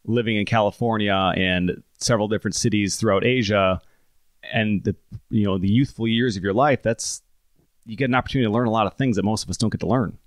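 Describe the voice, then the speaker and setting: A man's voice: lowish voice, fast, no echo, studio quality. Rambling male podcast host in his thirties. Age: 30s. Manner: rambling, restarting questions. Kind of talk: casual interview conversation.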